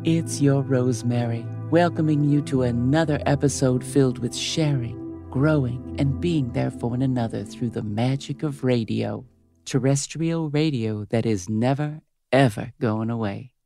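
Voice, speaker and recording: A woman in her fifties playing a crude, cynical radio host with a smoker's gravelly voice in a comedy audio drama. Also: extremely low pitch, measured pace, over music, heavily acted. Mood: syrupy on-air. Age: 50s. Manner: brash, foul-mouthed, switching to syrupy on air. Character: crude, cynical, hard-bitten 57-year-old female radio host.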